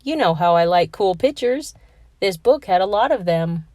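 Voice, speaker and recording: A woman's voice: mid-range voice, animated, normal speed, a little mellow, no echo, studio quality, natural voice. A woman doing a shy, bookish schoolboy. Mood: sheepish.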